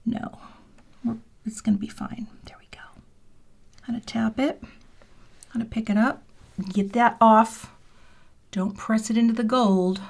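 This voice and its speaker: A woman in her fifties hosting a card-making livestream, chatting casually and muttering quiet asides while she works. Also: fairly high pitch, fairly even intonation, measured pace, gravelly, pauses often. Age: 50s. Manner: chatty and self-deprecating, muttering half-whispered asides while working. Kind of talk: casual crafting livestream chat. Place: home craft room.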